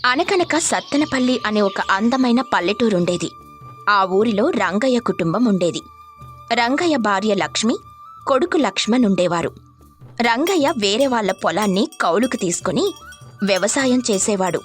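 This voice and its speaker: A woman's voice: medium pitch, expressive, moderate pace. A woman reading scripted narration for a moral story. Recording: music under the voice.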